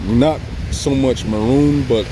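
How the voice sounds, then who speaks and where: Male vlogger with a Southern Californian accent, mid pitch, relaxed and repetitive, recorded outdoors at the beach over faint surf. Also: slow pace, background noise. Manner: relaxed, rambling, repeats himself, chuckles often. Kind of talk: casual tribute vlog. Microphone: phone or camera filming himself.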